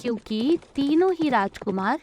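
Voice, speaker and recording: A woman's voice: sits high, lively intonation, normal speed, noisy background. A woman narrating a scripted children's story as a storyteller.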